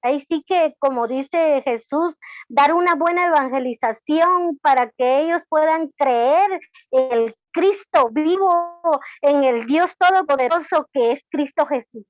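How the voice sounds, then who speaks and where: A woman's voice: high-pitched, a little slow, no echo. A woman from Guatemala with a Guatemalan accent, a church deacon giving a hesitant testimony with many fillers on an online call. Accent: Guatemalan Spanish. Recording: dull lo-fi call audio.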